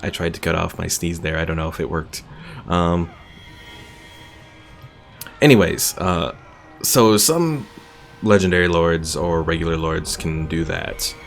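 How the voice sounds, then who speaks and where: A man's voice: sits low, expressive, a little slow, gravelly, pauses often, soft background music. A man giving casual live commentary as a game streamer, chatting and thinking aloud as he explains mechanics.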